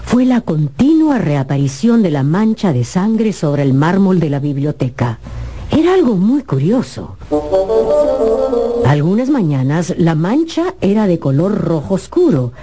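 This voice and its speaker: A woman narrates a dramatized story with theatrical expression. Her voice is a little low, measured and slow, over clearly audible music.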